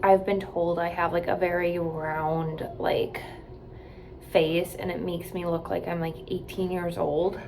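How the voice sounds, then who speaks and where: A woman's voice: on the low side, flat delivery, unhurried, gravelly, noisy background. A woman in her late twenties chatting casually to camera from a hotel room, rambling with lots of "like" and "um". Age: 20s. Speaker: vlogger.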